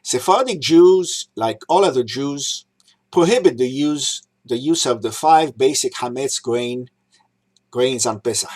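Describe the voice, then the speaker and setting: A man's voice: mid-range voice, animated, a little mellow. A man in his sixties who grew up in Egypt, giving a prepared holiday talk and recalling customs, listing items one at a time. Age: 60s. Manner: measured, reminiscing, lists items one by one. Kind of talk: prepared talk read aloud.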